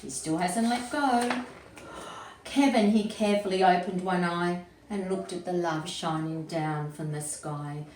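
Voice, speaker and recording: A woman reads a children's story aloud in an expressive, teacherly way, pausing to explain things simply. She sounds a little way from the microphone in an echoey room, with faint noise underneath. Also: on the low side. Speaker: early childhood educator reading to children. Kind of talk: animated picture-book read-aloud with explanatory asides. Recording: roomy, echoey, distant mic with faint noise.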